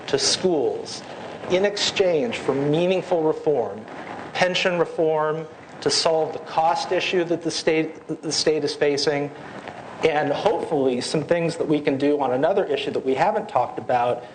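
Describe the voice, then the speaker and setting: A man's voice: mid-range voice, a little hiss or hum, rough recording. A man running for office, answering forum questions in a confident, persuasive way and checking in with "right?" tags. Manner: assertive and persuasive, with rhetorical tags. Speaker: school board member running for office. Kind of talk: unscripted campaign answer. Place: public forum hall.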